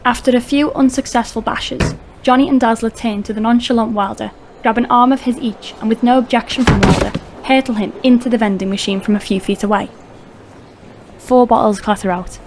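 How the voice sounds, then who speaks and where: A woman's voice: fairly high pitch, steady tone, brisk, background noise. A woman reading scripted narration for a crime audio drama.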